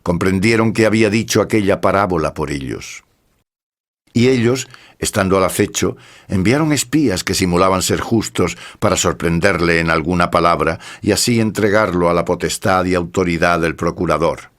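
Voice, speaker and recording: A man giving a scripted narration of scripture in a solemn, measured way. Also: deep voice, fairly bright tone.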